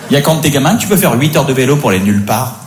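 A man's voice: mid-range voice, lively intonation, rapid-fire, noisy background. A man doing a stand-up routine, chatty and building up to playful rants. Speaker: stand-up comedian.

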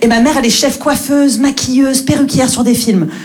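Humoriste jeune, voix un peu aiguë. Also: female voice, high-pitched, steady tone, a little fast, a little mellow. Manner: storytelling, mimics her parents. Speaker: stand-up comedian. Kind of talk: stand-up comedy set. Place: comedy club stage.

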